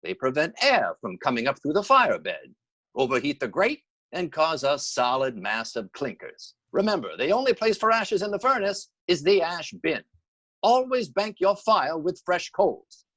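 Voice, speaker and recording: An actor playing a heating expert in a vintage radio commercial, giving formal, instructive household advice. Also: male voice, fairly high pitch, a bit bright, muffled recording. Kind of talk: scripted 1940s radio commercial.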